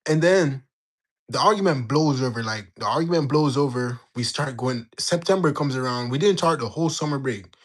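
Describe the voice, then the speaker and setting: A young man in his late teens from Jamaica, telling a story in a slangy, animated way while bantering with his livestream chat. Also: medium pitch, normal speed. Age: young adult. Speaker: livestream host. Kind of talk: casual storytelling to live chat.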